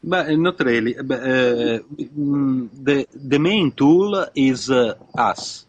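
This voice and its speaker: A man's voice: mid-range voice, slow pace, no room sound. Hesitant man, dull dry recording. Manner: hesitant, many fillers and restarts. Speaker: guest. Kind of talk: reflective conversation.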